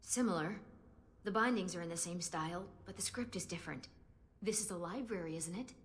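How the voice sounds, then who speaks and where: A woman's voice: on the low side, fairly quick, lightly acted. A woman playing a young Dalish elf hunter in a video game, playful and direct as well as earnest. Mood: curious.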